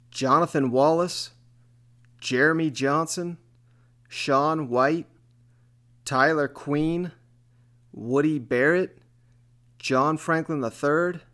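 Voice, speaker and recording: A man's voice: fairly high pitch, a bit bright. Conversational man hosting sports talk. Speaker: podcast host.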